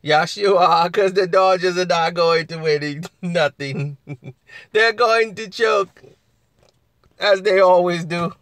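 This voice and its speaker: A man with a husky voice hosting a casual live chat, laughing often and answering viewers. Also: high-pitched, takes it slowly, a bit bright, no echo.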